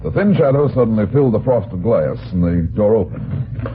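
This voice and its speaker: Casting: male actor as tough, burly radio detective, low-pitched, terse and dry, on dull old-time audio. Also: noisy background, lightly acted. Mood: wry narrating. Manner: terse, deadpan dialogue and measured narration. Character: heavyset hard-boiled male private detective, dry and unflappable. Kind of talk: old-time radio detective drama, first-person narration. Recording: muffled, band-limited old radio recording.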